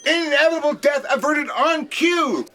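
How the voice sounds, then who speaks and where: Man as anxious, eager-to-please control-room underling, extremely high and bright, sci-fi comedy, music behind. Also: moderate pace. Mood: urgent, dutiful. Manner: stammering apologies, crisp announcing. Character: nervous, eager, deferential traffic control operator. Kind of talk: comedic sci-fi audio drama acting.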